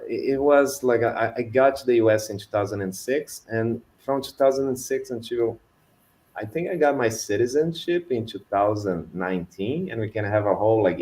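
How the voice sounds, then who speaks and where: A middle-aged man from Brazil with a Brazilian Portuguese accent, a Portuguese native speaker talking as a guest in a relaxed, reflective, self-deprecating way. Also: on the low side. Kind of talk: conversational career talk on a webinar.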